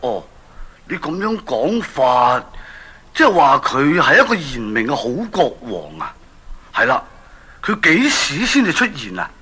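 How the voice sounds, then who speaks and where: A man's voice: mid-range voice, huge pitch swings, measured pace, pushed and bright, breathy edge, full character voice. An actor playing an aging, worried and impatient king in a children's radio drama, exclaiming and questioning theatrically. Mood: eager, puzzled.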